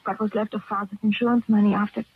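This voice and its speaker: An actress playing a timid, eager young housemaid in an old radio thriller, earnest and hesitant. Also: female voice, mid-range voice, little pitch movement, fast, husky. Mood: meek, earnest. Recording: dull old radio recording.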